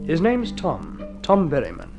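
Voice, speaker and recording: A man playing an urbane storyteller in old radio drama, narrating in a measured, polished, literary way. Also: a little high, huge pitch swings, full character voice. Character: urbane male storyteller introducing a friend's tale. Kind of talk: mid-century radio scripted narration. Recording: old radio recording, music behind.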